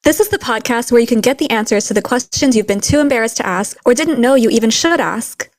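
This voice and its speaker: A woman raised in a Texas border town, co-hosting a podcast in a relaxed, reflective way with light laughs. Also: on the high side, quick pace, no room sound. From Philippines, raised in a Texas border town. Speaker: podcast co-host. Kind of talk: casual conversational podcast chat.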